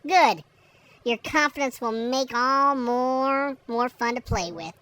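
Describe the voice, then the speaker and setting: A menacing, playful villainess from an audio story, acted out by a man. The voice is pitched high and stretches words slowly in a sing-song taunt, as if toying with prey. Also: sharp tone, smooth, full character voice. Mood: gleeful menace.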